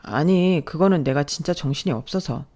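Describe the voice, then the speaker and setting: A tired young husband, voiced by a woman reading a story aloud, caught between wife and mother. He speaks a bit lower and softer, defensive and soothing. He never raises his voice much. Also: normal speed. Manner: defensive, placating and low-key. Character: evasive, weary young husband caught in the middle. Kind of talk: storytelling with acted dialogue.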